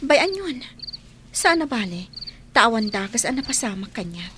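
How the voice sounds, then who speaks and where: A woman's voice: on the high side, big pitch swings, unhurried, background noise. A woman playing a meek, polite young orphaned housemaid in an audio drama. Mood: meek, sorrowful. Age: young adult. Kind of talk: audio drama acting in dialogue.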